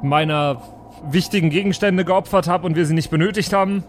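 A man's voice: high voice, a little fast. A man playing a tabletop role-playing game, improvising in casual conversation with the group. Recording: music under the voice. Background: background music.

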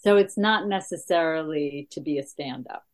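A middle-aged woman stand-up comedian with a gravelly voice, talking in a halting, casual interview with many fillers. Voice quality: gravelly, deeper voice.

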